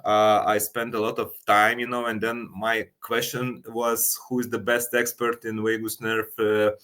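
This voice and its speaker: A man from Lithuania with a Lithuanian accent, answering as an interview guest in halting non-native English with repeated words. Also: lowish voice, monotone, fairly bright tone. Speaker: guest, company co-founder and sleep coach.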